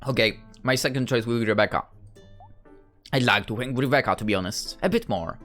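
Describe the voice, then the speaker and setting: A man's voice: medium pitch, a little fast, a bit bright, faint music behind. A man giving casual, playful, rambling playthrough commentary on a game. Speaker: gaming video creator playing his visual novel. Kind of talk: casual playthrough commentary, reading dialogue aloud.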